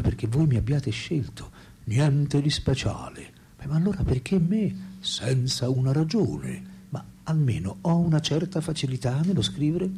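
A man's voice: medium pitch, dark tone. An actor in a radio play voicing a French philosopher and writer, ironic, reflective and self-deprecating, telling his thoughts theatrically. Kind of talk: radio play dialogue and monologue.

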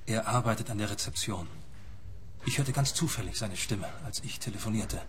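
A man's voice: on the low side, moderate pace, a little hiss or hum, natural voice. An actor playing an earnest, traumatised and stubborn male client in a radio play, speaking seriously and insistently. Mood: earnest, troubled.

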